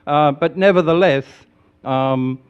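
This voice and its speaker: A man's voice: fairly high pitch, slow. Man, audience question, hesitant with fillers, distant roomy sound, dull noisy recording. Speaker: audience member asking a question. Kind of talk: conference floor question. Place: conference hall audience, far from mic.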